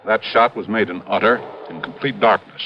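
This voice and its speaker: An American actor playing a blustering, big-hearted older mine owner in a 1950s radio drama, gruff, emphatic and booming. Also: male voice, moderate pace, close to an everyday voice. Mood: grave, baffled. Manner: emphatic, gruff, swinging from bellowing to grave. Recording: muffled, noisy old radio recording.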